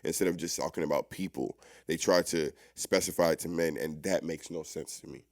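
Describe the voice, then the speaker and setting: A man with a notably deep voice argues on a casual podcast panel. He is emphatic and insistent, circling back to repeat his points among friends. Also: a little fast.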